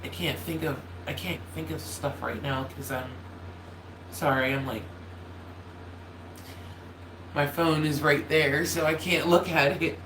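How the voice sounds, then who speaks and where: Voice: medium pitch, fairly even intonation, normal speed. A nasal voice that cracks a little, drifting through casual livestream chat and often stopping to apologize or restart. The room sounds echoey, with a faint space heater humming behind it. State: voice slightly cracking. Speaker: YouTuber hosting a holiday livestream. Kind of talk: casual rambling chat to viewers. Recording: roomy echo, faint heater hum.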